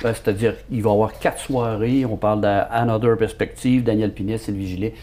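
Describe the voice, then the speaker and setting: A man's voice: on the low side, steady tone, brisk, dark tone. A man with a Québécois French accent, a reporter chatting on a local news show in an affirming way, with fillers. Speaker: reporter co-host. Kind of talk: casual news chat.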